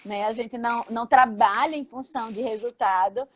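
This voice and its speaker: High-voiced Brazilian woman co-host. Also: normal speed. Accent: Brazilian Portuguese. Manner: affirming, checks in with the co-host. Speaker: school educator. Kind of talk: live-stream conversation.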